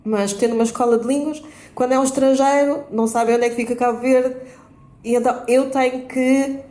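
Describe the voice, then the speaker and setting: A woman's voice: high voice, steady tone, a little fast, mellow. A woman tells her own story on a panel, candid, acting out the exchanges she recalls. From Portugal. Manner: candid, acts out quoted exchanges. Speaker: debate panelist. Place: event space at a bookshop. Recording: roomy hall.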